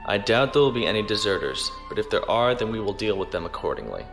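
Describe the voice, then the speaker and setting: A man playing a dutiful, reserved naval lieutenant in a science fiction audio drama, speaking in a formal, measured way. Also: lowish voice, big pitch swings, fairly bright tone, soft background music. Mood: formal, weary. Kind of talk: audio drama acting, log narration.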